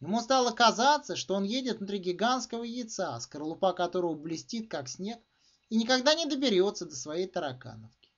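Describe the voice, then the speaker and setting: A man reading literary fiction as its author, in expressive, dramatic narration with emphatic phrasing. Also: high-pitched, fairly quick. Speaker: author reading own short story. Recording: dull, muffled lo-fi sound.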